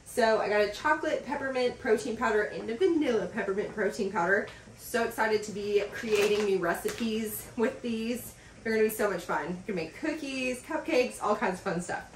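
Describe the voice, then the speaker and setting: A woman in her thirties chatting in a casual vlog as she unboxes packages in a home office, gushing and excited, with lots of fillers. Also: on the high side, normal speed, recorded from a distance, slight background noise. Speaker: vlogger. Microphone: camera across a desk. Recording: roomy sound from off the mic.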